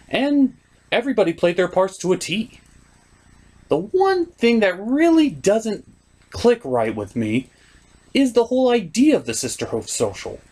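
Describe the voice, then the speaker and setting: A man with a slightly high, lively voice delivers a scripted comic review. His pitch moves around a lot and his manner is sarcastic. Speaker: reviewer, host. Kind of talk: scripted comedic episode review.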